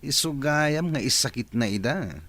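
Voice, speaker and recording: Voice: mid-range voice, expressive, normal speed, a bit bright, no echo, close to an everyday voice. An actor playing a smug, scheming partner in a radio drama.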